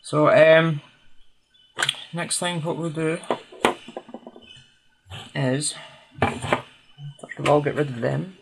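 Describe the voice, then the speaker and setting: A man's voice: fairly high pitch, fairly even intonation, extremely slow, gravelly, pauses often. A man giving casual, unscripted hands-on commentary as he tinkers, hesitantly thinking aloud. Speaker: hobbyist restorer hosting a video. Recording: noisy home recording with clicks.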